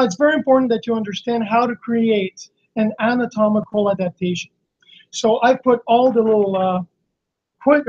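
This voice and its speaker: A hoarse man with laryngitis, a fitness coach teaching on a live stream in a halting, explanatory way with many restarts. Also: sits high, darkish tone. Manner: explanatory, frequent fillers and restarts. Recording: slightly dull lo-fi stream audio.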